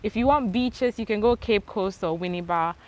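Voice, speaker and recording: A young woman with a mixed Ghanaian, English and Australian accent, chatting casually outdoors as she answers viewers' questions live. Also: mid-range voice. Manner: chatty, relaxed, thanks viewers, spells things out slowly. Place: outdoors under a palm tree.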